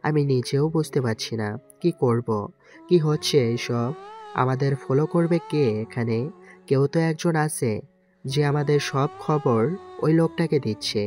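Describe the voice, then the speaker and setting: Man acting a resolute navy commander, mid-pitched, over music. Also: moderate pace, a little mellow, close to an everyday voice. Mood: serious. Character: resolute young male navy commander, protective and calm. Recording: background music under the voice.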